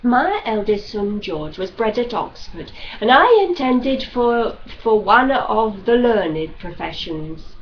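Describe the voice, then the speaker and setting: A woman reading a classic novel as scripted narration, with measured, deliberate phrasing, like an audiobook reader. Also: a little high, very animated. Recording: dull lo-fi audio with background noise.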